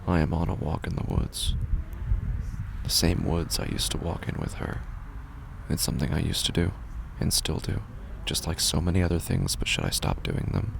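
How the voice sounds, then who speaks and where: A deep, heavily creaking voice of a bereaved, sleepless man, played by a male actor, narrating a horror audio story. He is subdued and brooding, speaking haltingly in a breathy near-whisper. A faint layer of noise sits behind the words. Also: very animated, heavily acted. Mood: numb, brooding. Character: grieving, hollow, sleepless man wandering at night. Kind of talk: scripted first-person horror audio fiction narration.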